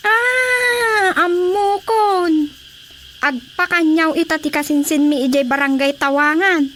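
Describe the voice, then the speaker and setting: Nagging, suspicious wife, extremely high voice, scolding whine, radio comedy, faint noise. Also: female voice, slow, fairly bright tone, heavily acted. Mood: nagging, whiny. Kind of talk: comedy radio drama acting.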